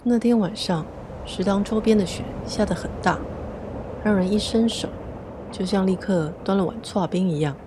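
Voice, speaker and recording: A woman's voice: medium pitch, moderate pace, background noise. A woman with a Taiwanese accent hosting a podcast and narrating a scripted story.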